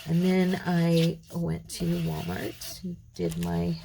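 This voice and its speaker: A woman's voice: lowish voice, monotone, slow pace, creaky, noisy background. A tired, middle-aged woman, chatting to her viewers and muttering to herself as she works through a craft tutorial. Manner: chatty, rambling, talks to viewers while working; mutters softly to herself while working. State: tired, gravelly voice. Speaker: craft channel host. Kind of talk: casual crafting tutorial chat.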